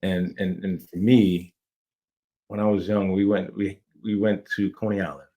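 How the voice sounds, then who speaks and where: A middle-aged man from Jamaica who grew up in New York talks as a guest on a podcast. His voice sits on the low side, and he rambles with eager restarts as he tells stories from his youth. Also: little pitch movement, moderate pace, dark tone. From Jamaica, raised in New York. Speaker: playwright, guest. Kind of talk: casual interview storytelling.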